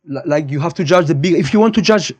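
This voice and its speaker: Higher-voiced Cypriot man in his fifties. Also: quick pace, husky. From Cyprus. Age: 50s. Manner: opinionated, digressive, repeats and rephrases points. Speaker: podcast guest, high school physics teacher. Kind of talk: casual conversational interview.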